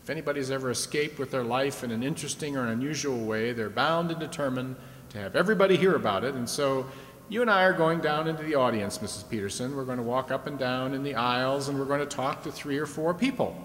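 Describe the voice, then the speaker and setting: A man's voice: medium pitch, fast talker, a bit bright, lightly acted. Man acting as a folksy radio quiz host, big hall. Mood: genial, explaining. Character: genial, talkative male radio quiz show host. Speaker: game show host. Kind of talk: 1950s radio game show acting. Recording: reverberant hall with faint noise.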